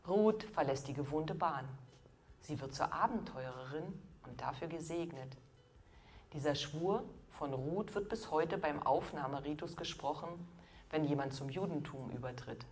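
A woman in her fifties gives a prepared sermon in a low voice. Her phrasing is measured and deliberate, broken by pauses. Faint music plays behind her. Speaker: preacher. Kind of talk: scripted sermon.

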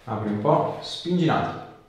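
A man, an osteopath giving brisk short directions and questions to a patient in a treatment room. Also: mid-range voice, lively intonation. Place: small treatment room, indoors. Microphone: camera a few metres away. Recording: roomy echo, distant mic, faint noise.

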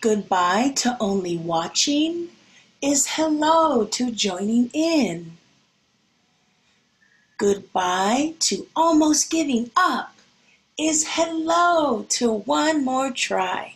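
A woman with a fairly high voice speaks to children like a schoolteacher at storytime. Her delivery is very slow and deliberate, with expressive phrasing aimed at young listeners. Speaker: schoolteacher reading to pupils. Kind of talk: picture-book read-aloud and talk to children.

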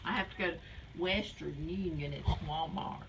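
A woman's voice: on the low side, moderate pace, vocal fry, distant mic. An older woman chatting casually in a small office, working there as an employee. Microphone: phone camera across the desk. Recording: very noisy phone video, off mic.

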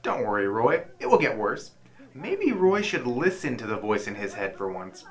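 A man's voice: mid-range voice, wildly swooping pitch, normal speed. A man playing a sarcastic, worried inner voice that nags, in a comedic audio drama.

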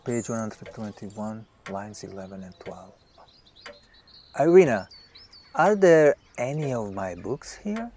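An actor playing a self-absorbed, softly charming writer in an audio drama, measured and musing. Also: male voice, lowish voice, lively intonation. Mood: preoccupied.

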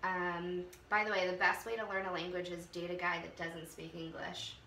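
Californian young woman guest, casual storyteller, bright tone, echoey room with faint hiss. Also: lowish voice, little pitch movement, normal speed. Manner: storytelling, lots of fillers like "um" and "like". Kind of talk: casual chat over tea. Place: small bright room with a tea table. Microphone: far camera.

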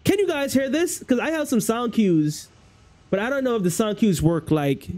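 A young man with a Bahamian accent talks to his stream viewers through a headset in a small room at home. His voice sits fairly high, and he rambles casually, repeating phrases as he wraps up. The tone is relaxed and conversational. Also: moderate pace, faint music behind. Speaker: game streamer. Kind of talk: casual livestream chat with viewers.

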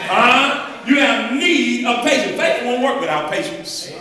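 High-voiced older preacher in an echoey hall. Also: male voice, lively intonation, unhurried, a crowd in the background. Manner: call-and-response preaching, colloquial, calls out "huh?". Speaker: pastor. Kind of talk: sermon. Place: church hall, walking the floor before a congregation. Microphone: handheld microphone, filmed by a far camera. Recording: echoey hall from far off, congregation.

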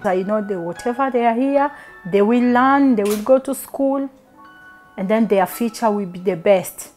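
A woman's voice: mid-range voice, normal speed, a little mellow, faint music behind. A woman who is a mother of three, answering interview questions earnestly indoors.

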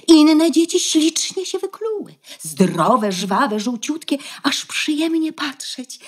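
An actress playing a weary, proud, stubborn young mother duck in a radio play, with a husky voice. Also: on the high side, heavily acted. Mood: proud, tired.

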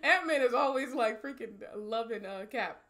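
A young woman giving casual, excitable movie reaction commentary full of slang and swearing. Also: fairly high pitch, normal speed. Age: young adult. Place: small bedroom studio, indoors. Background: film soundtrack music.

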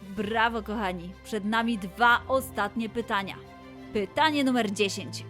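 A woman's voice: medium pitch, unhurried, a bit bright. A woman presenting a scripted quiz for children as host, announcing and addressing the young listeners directly. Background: music.

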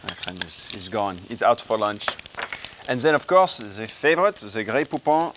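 A man's voice: fairly low pitch, animated, normal speed, background noise, close to an everyday voice. A man playing a fussy, sardonic French chef with a French accent on a comic cooking show. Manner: pompous, sardonic. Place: TV studio kitchen.

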